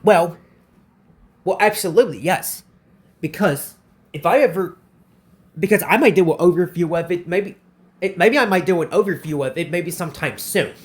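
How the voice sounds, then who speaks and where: Halting young man answering viewer questions. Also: high voice. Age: 20s. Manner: halting, repeats and restarts phrases often. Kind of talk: casual Q&A vlog.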